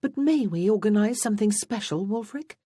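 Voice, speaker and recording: A narrator voicing a lively, playful young lady of genteel manner in a period romance audiobook. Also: mid-range voice, normal speed, husky, pitched above the performer's own voice. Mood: eager, playful.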